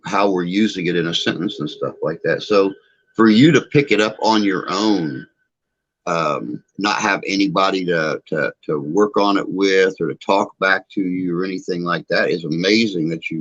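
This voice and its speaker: A man's voice: sits low, a bit bright. A man, hosting a podcast interview, who stammers and repeats words as he asks questions. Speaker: podcast host. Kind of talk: casual interview chat. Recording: dull lo-fi sound.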